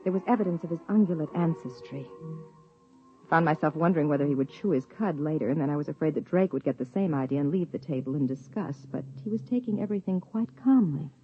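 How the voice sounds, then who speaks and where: A woman's voice: sits low, fast, lightly acted. A woman playing a reflective wife narrating. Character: observant wife narrating her own story. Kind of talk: 1950s radio drama narration. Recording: tinny 1950s radio broadcast with faint music.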